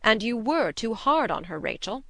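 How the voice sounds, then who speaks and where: A woman voicing a stern, reserved middle-aged woman guardian who speaks in a firm, measured way. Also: fairly high pitch, big pitch swings, gravelly, bright, forceful tone, no echo, full character voice.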